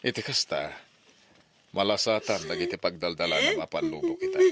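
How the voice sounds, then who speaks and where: A man's voice: low-pitched, huge pitch swings, brisk, fairly bright tone, slightly rough, heavily acted. A man acting an earnest, protective older brother who gives solemn counsel in an Ilocano radio drama. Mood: solemn, consoling.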